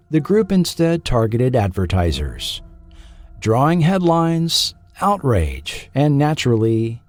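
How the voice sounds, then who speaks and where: A man hosting and narrating a scripted documentary in a measured, dramatic storyteller manner. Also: mid-range voice, a little mellow, no echo, studio quality. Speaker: podcast host and narrator.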